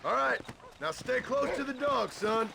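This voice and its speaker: An actor playing a middle-aged American rancher father in a video game, gruff and patient, talking in a folksy drawl with dry humor. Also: very high voice, lively intonation, unhurried, bright, forceful tone, exaggerated voice. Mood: patient, instructing. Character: gruff, patient rancher father teaching his son to hunt. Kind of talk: video game dramatic acting. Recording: game dialogue over outdoor animal noise.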